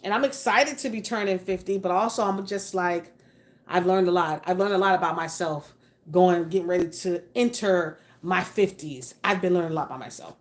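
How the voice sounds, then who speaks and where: A woman's voice: on the low side, normal speed. A woman in her forties hosting a casual livestream, talking frankly and emphatically while answering viewer comments. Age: 40s. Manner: frank, mildly profane, emphatic. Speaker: livestream host.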